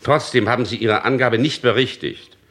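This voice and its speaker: An actor playing a stern, insistent police chief inspector in a radio crime drama, speaking formally and pointedly. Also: male voice, medium pitch, normal speed, fairly bright tone, lightly acted. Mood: probing, reproachful.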